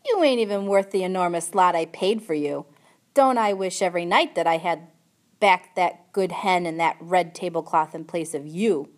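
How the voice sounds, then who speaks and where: Woman acting out a loud, jeering rustic uncle. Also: mid-range voice, expressive, normal speed, lightly acted. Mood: mocking. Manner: rough rustic speech, jeering and scornful. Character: gruff, mocking, cruel uncle, a rustic man.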